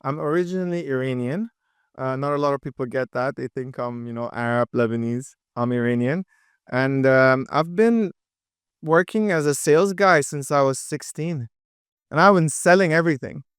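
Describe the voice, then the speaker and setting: A lively young man from with a crisp voice. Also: mid-range voice, no room sound, clean studio sound. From Dubai. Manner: energetic, quick, with many fillers and restarts. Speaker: podcast guest, entrepreneur. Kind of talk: casual interview chat.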